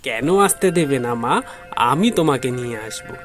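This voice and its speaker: A man's voice: mid-range voice, lively intonation, measured pace, a bit bright, soft background music. A man playing a pleading, tender father of a bride in an audio story, speaking earnestly. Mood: tender, reassuring. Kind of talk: audio story drama acting.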